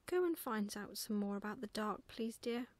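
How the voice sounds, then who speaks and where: A woman voicing a patient but firm mother owl in a storybook, gently giving instructions. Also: medium pitch, animated, normal speed, no room sound, natural voice.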